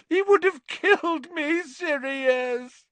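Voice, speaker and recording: Shrill, whining, cringing coward. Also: male voice, slow, a bit bright, no room sound, heavily acted. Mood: whining, panicked. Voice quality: whiny, gasping. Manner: stammering, begging. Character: cowardly, cringing, pleading middle-aged traitor man.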